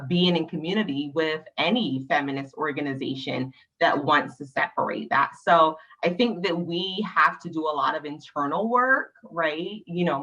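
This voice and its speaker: A passionate woman from Barbados speaks on a panel over a video call. Her voice sits very low but rings bright and forceful, and she drives her points home with emphatic tag questions. The call audio sounds dull and lo-fi. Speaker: panelist, nonprofit leader.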